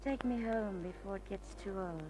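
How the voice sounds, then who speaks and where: A woman's voice: mid-range voice, moderate pace, noisy background, close to an everyday voice. Woman acting coy, flirty radio crime dame. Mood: tipsy, flirty. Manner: coy, teasing. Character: flirty, suspicious, tipsy underworld dame. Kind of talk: old-time radio crime drama acting. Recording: old radio recording with music.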